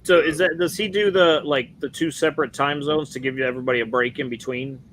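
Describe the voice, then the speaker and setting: A man's voice: fairly high pitch, steady tone, fast talker, a bit bright, rough recording. A man in his forties, chatting casually on a stream panel with a laid-back, self-mocking manner. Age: 40s. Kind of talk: casual chat.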